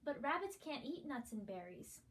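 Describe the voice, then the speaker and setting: A woman voicing a gentle, polite, lonely little boy bunny in a storybook. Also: high voice, sing-song, moderate pace. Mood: hopeful, polite.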